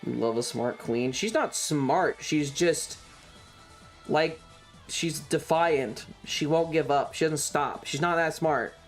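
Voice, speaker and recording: A man's voice: on the high side, takes it slowly, faint music behind. A man telling a story casually on a livestream, rambling and swearing in an exasperated way, acting out bits of dialogue as he goes. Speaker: streamer.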